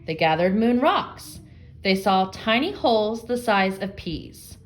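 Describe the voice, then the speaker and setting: A woman working as an elementary reading teacher, reading aloud and explaining to young children, thinking out loud and posing guiding questions. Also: mid-range voice, big pitch swings, unhurried, soft background music.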